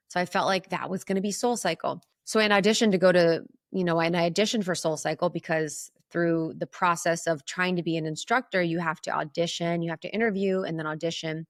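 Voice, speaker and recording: A woman's voice: lowish voice, dry recording, clean studio sound. A woman from Ohio with an Ohio accent, telling a personal story as a podcast guest in a candid, reflective way. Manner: candid, reflective, frequent "like" fillers.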